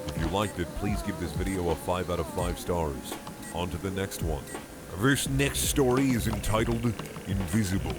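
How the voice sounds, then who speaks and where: A man with a fairly low voice reads horror stories as a YouTuber would, dramatic yet deadpan and mock-solemn. Loud music runs behind him, along with video game gunfire. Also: moderate pace. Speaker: YouTuber, story reader. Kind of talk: dramatic amateur horror story narration with mock-serious asides.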